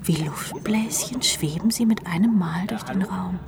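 A woman's voice: mid-range voice, measured pace, creaky, background noise. An actress playing a dreamy, sardonic rock drummer narrating her thoughts in a radio drama, dry and intimate, often half-whispering. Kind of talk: radio drama inner monologue.

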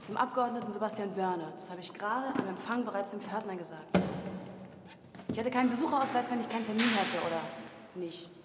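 A woman's voice: mid-range voice, a little fast, reverberant, heavy background noise, natural voice. An actress in her twenties playing a defiant, evasive young woman in a naturalistic radio drama. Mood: indignant. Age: 20s.

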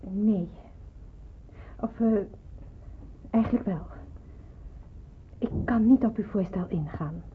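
A woman's voice: mid-range voice, takes it slowly, pauses often, lightly acted. Woman acting a hesitant young nurse, thin old recording. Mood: hesitant, resigned. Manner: hesitant, earnest. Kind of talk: radio drama acting. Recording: muffled, narrow-band old radio play, hissy.

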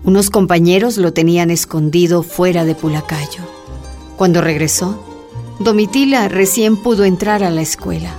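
A woman narrating a scripted radio drama as a storyteller, with dramatic pauses. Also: lowish voice, a little mellow, faint music behind.

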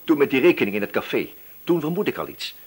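Casting: relentless, crafty radio-play inspector, husky, swooping delivery, shifting from feigned chattiness to accusation. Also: male voice, mid-range voice, big pitch swings, fast. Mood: accusing, firm. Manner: probing, hesitant feints turning accusatory. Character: wily, relentless male police inspector, feigning casual chat. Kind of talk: radio drama dialogue.

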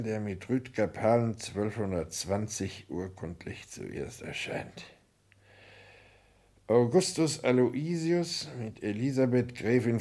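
An actor playing an older, reserved and haughty count in a 1960s German radio play, speaking in formal, measured, aristocratic diction. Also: male voice, fairly low pitch, creaky, darkish tone, full character voice. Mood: solemn, musing.

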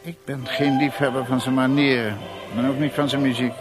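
Sardonic theatre schemer over loud music, male actor. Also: mid-range voice, level tone, a little fast, close to an everyday voice. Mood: sardonic, dismissive. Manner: blunt, sardonic, hesitating mid-sentence. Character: cynical, nosy theatre money man and intriguer. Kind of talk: Dutch radio drama acting.

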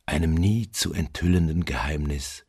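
An actor playing a haunted, doomed seafarer in a literary radio drama, speaking in a slow, brooding, solemn manner with a husky, gravelly voice. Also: male voice, a little mellow, no echo, studio quality, exaggerated voice. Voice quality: very deep, gravelly, husky, at times near whisper. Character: haunted male seafarer recounting his doom aboard a ghost ship.

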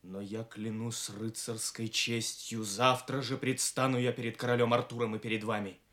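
Actor as a bright-voiced, proud noble knight. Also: male voice, medium pitch, flat delivery, normal speed, lightly acted. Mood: proud, defiant. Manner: declamatory, courtly, commanding. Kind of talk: theatrical radio play acting.

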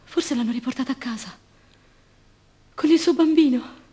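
A woman's voice: sits high, normal speed, mellow, breathy edge. An actress playing an anguished, fierce captive mother robbed of her baby, in an intense, insistent radio monologue full of repetition. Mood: despairing, grieving.

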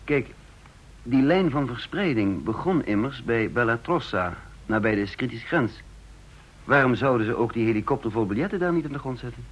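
Muffled actor as methodical intelligence chief. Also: male voice, medium pitch, expressive, moderate pace, lightly acted. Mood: explaining. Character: brisk, methodical male head of a counterintelligence service. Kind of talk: Dutch radio play acting. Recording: muffled, dull radio play recording.

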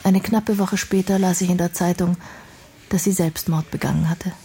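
A woman's voice: on the low side, fairly even intonation, normal speed, vocal fry, mellow. An actress playing an intelligent, outspoken young woman in a radio play, speaking in an articulate, reflective and earnest way. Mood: subdued.

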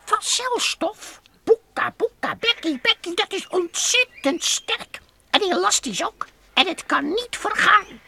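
A woman's voice: extremely high pitch, animated, a little slow, gravelly, bright, forceful tone, exaggerated voice. A woman playing an impatient, curious young alien boy in a children's radio play, prone to repeated nonsense exclamations. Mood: eager, impatient.

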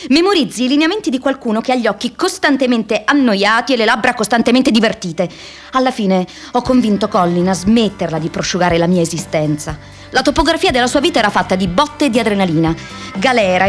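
An actress playing a restless, wry young American woman activist in an expressive, introspective radio drama monologue. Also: a little high, a little fast, soft background music, lightly acted. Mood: wistful, reflective. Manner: expressive, introspective, shifts between playful and wistful.

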